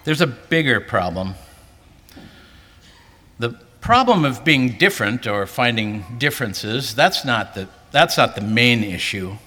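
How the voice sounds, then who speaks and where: A man's voice: sing-song, unhurried, sharp tone. A male pastor giving an earnest sermon to a convention, lifting his voice for emphasis. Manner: earnest, rising pitch for emphasis. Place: large hall, preaching to a convention. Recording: hall reverb.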